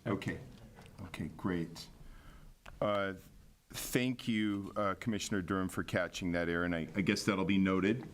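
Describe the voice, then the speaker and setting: A man's voice: sits low, fairly even intonation, normal speed, a little hiss or hum. A man chairing a city commission meeting, reading agenda items aloud with frequent filler words.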